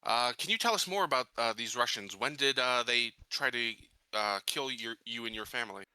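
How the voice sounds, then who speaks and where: A man playing a tabletop game, improvising courtroom questions with halting, somewhat formal phrasing. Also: medium pitch, bright, forceful tone, clear, resonant tone, no room sound, poor audio. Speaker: tabletop player questioning a witness in character.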